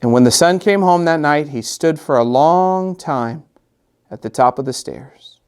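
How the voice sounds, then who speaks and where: A middle-aged man reads a picture book to children expressively, drawing out repeated phrases with gentle, dramatic emphasis. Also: a little high, lively intonation, clean tone. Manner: gentle, drawn-out, sing-song repetitions with dramatic emphasis. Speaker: storytime host and children's author.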